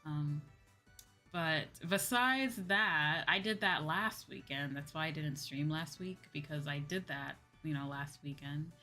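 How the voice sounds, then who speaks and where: Chatty streamer woman, bright forward voice on the lower side, bit of music behind her. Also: unhurried. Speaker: VTuber livestream host. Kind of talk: casual livestream chat.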